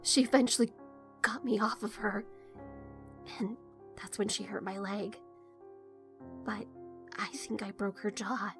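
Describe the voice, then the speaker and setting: A woman's voice: mid-range voice, steady tone, measured pace, gravelly, pauses often, fairly bright tone, soft background music. A woman acting in an audio drama as an earnest, uneasy teenage daughter, hesitant, subdued and confessional. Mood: sheepish.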